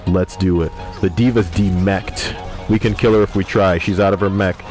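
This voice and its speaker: A man's voice: low-pitched, steady tone, normal speed, a little mellow. A young man streaming a game, reading viewer messages aloud and joking with crude, profane banter. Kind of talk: casual gaming livestream chat. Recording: music playing behind voice.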